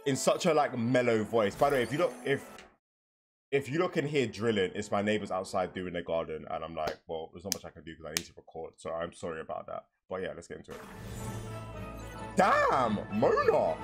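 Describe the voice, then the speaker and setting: A man's voice: lowish voice, fairly quick. A young man giving casual, exclamatory live reaction commentary, slangy and full of swearing. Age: young adult. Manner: expressive, exclamatory, slangy, profane. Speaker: reaction video host. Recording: background game music under voice.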